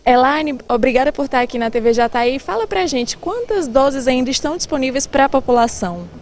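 A woman's voice: high voice, expressive, fairly quick, slight background noise. A young woman with a Brazilian Portuguese accent, working as a TV reporter, presenting and interviewing into a handheld mic outdoors. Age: young adult. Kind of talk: live news reporting and interviewing. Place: outdoors in a building's garden. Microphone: handheld reporter microphone.

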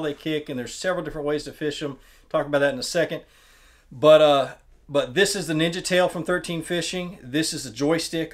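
A man in his thirties sits at a desk in a small studio and walks through his fishing tackle. His voice sits in an ordinary middle pitch, and he talks in a relaxed, plainspoken way, often restarting words as he holds things up to show them. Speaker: fishing channel host. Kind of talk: casual tackle explainer. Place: small indoor studio with wooden wall.

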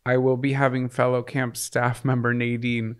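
A man's voice: mid-range voice, level tone, moderate pace, smooth. Wry American man co-hosting a podcast. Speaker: podcast co-host. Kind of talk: casual comedic podcast banter. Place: small decorated indoor podcast set. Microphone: handheld microphone held close.

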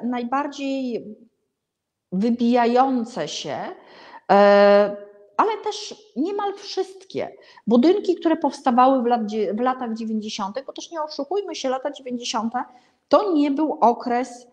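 Higher-voiced middle-aged woman, halting delivery. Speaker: vlog host recommending books. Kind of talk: informal spoken book review to camera. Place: indoor room hung with paintings.

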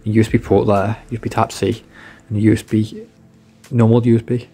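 A man's voice: lowish voice, level tone, fairly quick, mellow, breathy edge, faint music behind. Casual, rambling man reviewing a product. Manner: informal, rambling, repetitive.

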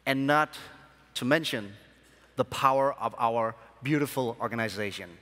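A young man, born in Sri Lanka and raised in Denmark, giving a keynote speech to a convention audience from a large hall stage with measured, emphatic phrasing. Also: mid-range voice, sharp tone. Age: young adult. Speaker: conference speaker. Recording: long hall reverb.

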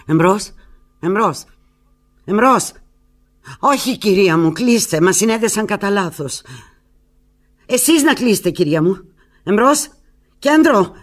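An actress playing an anguished, lovelorn woman alone on the telephone in a theatrical radio monologue, pleading and fretful, with halting replies. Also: medium pitch, lively intonation, lightly acted. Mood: agitated, exasperated.

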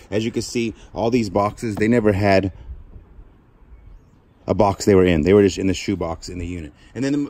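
A man chatting casually to viewers while unboxing shoes outdoors, filming first-person. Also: lowish voice. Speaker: storage auction vlogger. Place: outdoors by a cardboard box. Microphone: handheld camera, first-person view. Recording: loud outdoor background noise.

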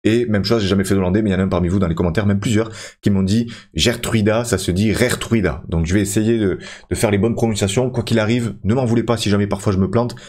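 A man's voice: on the low side, fairly even intonation, quick pace, a little mellow. A man in his thirties casually commentating his football career game for viewers, chatty and full of fillers. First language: French. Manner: chatty, addresses viewers as friends, many fillers. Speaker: gaming YouTuber.

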